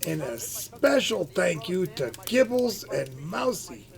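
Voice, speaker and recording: A folksy, kindly Santa figure, played by a man in a holiday radio play. The voice sits high and gravelly, with big swings in pitch and an unhurried delivery. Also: big pitch swings, exaggerated voice. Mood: hearty. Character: warm-hearted husband playing Santa for a young guest. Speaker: actor in an amateur audio play.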